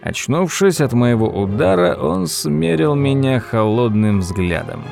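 An actor playing a nephew who tells his story in expressive, dramatised first-person narration for an audio drama. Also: medium pitch, measured pace, creaky, faint music behind. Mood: dramatic. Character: storytelling nephew recounting his odd uncle's troubles. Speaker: narrator and character.

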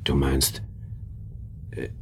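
An actor playing a wary club friend in a German radio play, husky and hesitant, guarded in what he says. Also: drawn-out words, slight background noise. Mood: uneasy, guarded.

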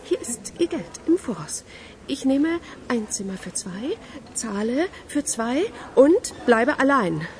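A self-assured, stubborn woman in a radio crime play. Her voice is high and swings expressively, with unhurried, insistent delivery. There is faint noise behind her. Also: big pitch swings, darkish tone. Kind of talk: German radio crime drama acting.

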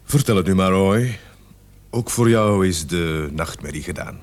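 Low-voiced, measured police sergeant. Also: male voice. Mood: calm, grave. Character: calm, authoritative police sergeant questioning a suspect. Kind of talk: radio play acting.

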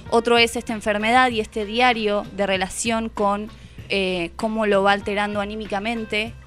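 Radio book columnist: woman, Argentine accent, mid-pitched, hesitant and thoughtful conversational delivery, music bed underneath. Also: level tone, normal speed. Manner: reflective, hesitant, rephrasing as she goes. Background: background music.

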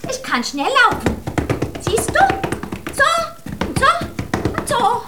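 A woman's voice: extremely high pitch, wildly swooping pitch, over music, heavily acted. An actress playing a bossy, confident little girl who is a princess in a children's radio play. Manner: commanding, brisk, self-assured.

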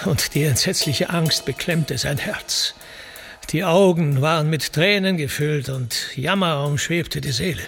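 A male actor playing a seafaring Greek hero who recounts his wanderings in solemn, measured epic verse for radio, in a deliberate declamatory way with pauses. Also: fairly high pitch, gravelly, breathy edge, full character voice. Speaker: actor reciting as narrator.

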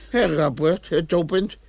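An irritable male schemer in a radio drama, with a fairly high voice full of creak. He is bossy and scornful, forever exasperated with those around him. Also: expressive, slow, dull audio, background noise, exaggerated voice. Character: scheming, irritable man secretly digging for treasure. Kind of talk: radio play acting.

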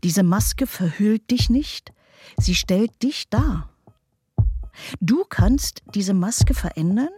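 A woman reading a poetic text slowly and intimately, dropping to a whisper at times. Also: mid-range voice, dark tone. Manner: slow, intimate, partly whispered. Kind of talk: scripted poetic narration.

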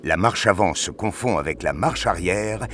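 A man narrating a scripted science-fiction audio story in a deliberate, dramatic storytelling manner. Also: low-pitched, lively intonation, a bit bright.

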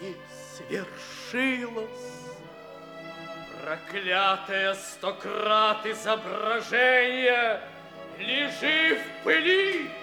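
Overwrought mourner, melodramatic radio play: extremely high, drawn-out wailing, long reverb, music behind. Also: male voice, exaggerated voice. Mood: grieving, overwrought. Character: grief-stricken, loyal friend of an executed prince. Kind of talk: melodramatic tragicomic radio declamation.

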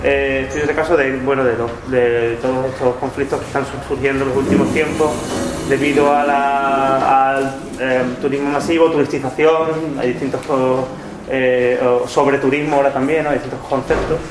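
A man's voice: mid-range voice, flat delivery, normal speed. A man presenting a book informally to an audience, hesitant, often repeating words and adding tag questions. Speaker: book co-author presenting the event. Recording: echoey room, background noise.

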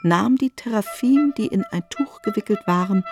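A woman narrating a literary radio drama with articulate, expressive delivery and deliberate emphasis. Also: mid-range voice, soft background music.

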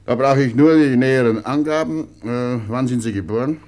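An actor playing a well-meaning, cautioning village notary in a German radio play, with an expressive, admonishing manner. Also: male voice, medium pitch, moderate pace, close to an everyday voice. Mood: businesslike, surprised.

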